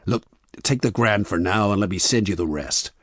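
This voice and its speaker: A man's voice: lowish voice, normal speed, dry recording, studio quality, natural voice. A man voicing a panicky, pleading businessman caught cheating, in an audiobook. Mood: alarmed. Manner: flustered, pleading. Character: panicky cheating husband, desperate businessman, bargaining.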